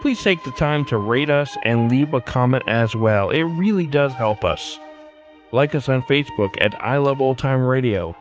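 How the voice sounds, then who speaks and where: A man's voice: mid-range voice, normal speed, dull audio, soft background music. A man hosting a podcast with scripted commentary. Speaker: podcast host.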